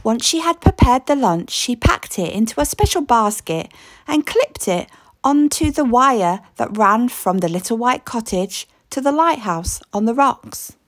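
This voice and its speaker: A woman reading a children's story aloud with expressive, deliberate, dramatic narration. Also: a little high, no room sound. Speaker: storybook reader.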